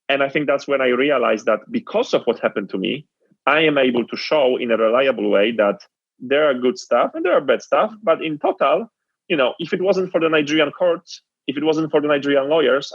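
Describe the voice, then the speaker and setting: Polish-accented man, earnest, husky. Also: medium pitch, no echo, lo-fi sound. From Poland. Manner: earnest, reflective, conversational. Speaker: guest entrepreneur. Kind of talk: interview storytelling.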